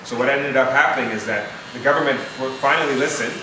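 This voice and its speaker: A man's voice: mid-range voice, fast. A man born in Haiti and raised in Montreal, speaking earnestly to a youth audience as a foundation founder, often restarting his phrases. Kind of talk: informal speech to a live audience. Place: event room with an audience. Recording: echoey room, distant mic, noisy.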